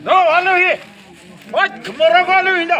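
A man's voice: very high voice, normal speed, sharp tone. A middle-aged man working as an auctioneer outdoors, calling out and repeating bids to urge buyers on, recorded on a phone from within the crowd. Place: open churchyard outdoors, among a crowd. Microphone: phone camera in the crowd, a few meters off. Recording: crowd chatter behind.

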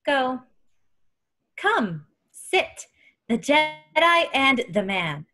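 Slow, solemn woman playing a mystic seer. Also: sits high, sing-song, bright, forceful tone, exaggerated voice. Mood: solemn, cryptic. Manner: slow, oracular, broken syntax. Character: cryptic, solemn female alien seer.

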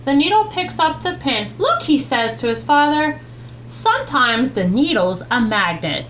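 A young woman with a high, very melodic voice reads a picture book aloud for children. She takes her time, phrasing each line deliberately and expressively. The home recording has clear background noise. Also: very animated. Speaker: teacher reading a children's book. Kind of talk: read-aloud storytime narration. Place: small room at home, indoors.

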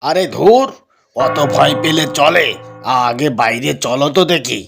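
Gravelly, blustering village father, acted by a man. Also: fairly high pitch, animated, a little slow, music in the background. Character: harsh, blustering village father, cowardly underneath. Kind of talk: Bengali cartoon dialogue.

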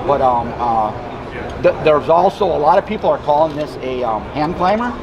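Man, slightly raised pitch, company rep, plainspoken explanatory delivery, casual demo, noisy trade show crowd. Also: lively intonation, moderate pace, muffled recording. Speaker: company representative presenting a product. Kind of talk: casual product demonstration interview. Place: busy indoor trade show floor.

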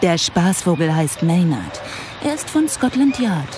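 An actress playing a confident, teasing police investigator in a German crime audio drama, casual yet professional. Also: female voice, lowish voice, very animated, measured pace, noisy background. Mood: brisk, professional. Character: self-assured, playful female police investigator, quick and teasing.

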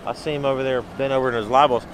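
A man's voice: mid-range voice, steady tone, very fast. A middle-aged man chatting informally to camera as a vlog host. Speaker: professional angler, vlog host. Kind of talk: casual vlog chat to camera. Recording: outdoor and indoor noise, crowd bustle.

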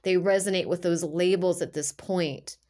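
A woman's voice: lowish voice, fairly even intonation, moderate pace, dark tone. A woman giving a casual tarot reading, with a stuffy, nasal voice from a sinus infection. She talks earnestly and rambles, full of "like" and restarts. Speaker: tarot reader. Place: indoors at a table with cards on purple cloth.

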